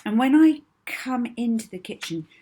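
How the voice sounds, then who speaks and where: A woman voicing a young girl hypnotist in an audiobook, speaking in a deliberate, coaxing and commanding way. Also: on the high side, expressive, warm tone, breathy edge, pitched above the performer's own voice. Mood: firm, commanding. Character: young orphan girl hypnotist, soothing, bold, bossy. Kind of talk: audiobook character voice.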